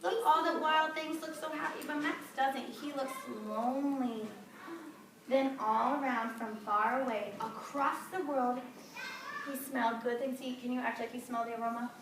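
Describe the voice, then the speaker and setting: A woman teacher reading a picture book aloud to young children, expressive and often prompting them with questions. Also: sits high, normal speed. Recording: distant, echoey noisy classroom.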